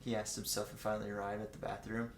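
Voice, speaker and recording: A man's voice: on the low side, flat delivery, fast, slight background noise. A man reading a story aloud in a casual, comedic way, giggly and prone to joking asides. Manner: giggly, joking, breaks off to riff. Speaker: guest co-reader of fan fiction. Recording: echoey room.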